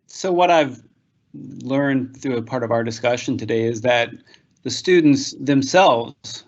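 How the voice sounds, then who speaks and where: Guy moderating a zoom panel, polite, mid pitch, kinda dull audio. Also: unhurried.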